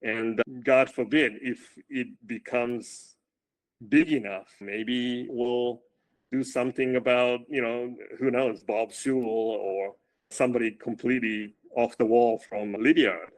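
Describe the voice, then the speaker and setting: A male running coach speaking in a casual interview, with a loud voice, often repeating words as he starts his sentences. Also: mid-range voice, steady tone, a little slow, poor audio.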